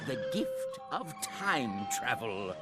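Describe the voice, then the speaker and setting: A man's voice: mid-range voice, sing-song, slow pace, over loud music. A man playing a cheerful, doddering elderly alien scientist in a video game cutscene, theatrical, bumbling and whimsical. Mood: cheerful, welcoming.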